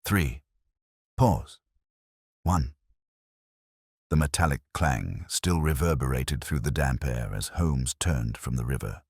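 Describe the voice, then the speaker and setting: A man's voice: a bass voice, lively intonation, slow pace, vocal fry, long pauses, slightly rough, no echo, clean studio sound. A man reading a scripted mystery story as a narrator, solemn and suspenseful in his dramatic delivery. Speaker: audiobook narrator.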